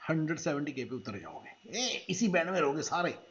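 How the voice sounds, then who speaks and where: A man's voice: a little high, big pitch swings, brisk, a bit bright, highs cut off. A man hosting a reaction video, commenting sarcastically and mocking what he hears. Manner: mocking, puts on accents and laughs.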